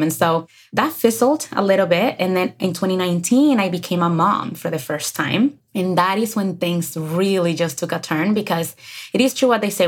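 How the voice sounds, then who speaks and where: An energetic woman in her thirties from the Dominican Republic, speaking with a Dominican Spanish accent as a guest on an interview podcast, who laughs often. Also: on the low side, husky. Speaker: guest, career coach. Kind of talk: casual interview chat.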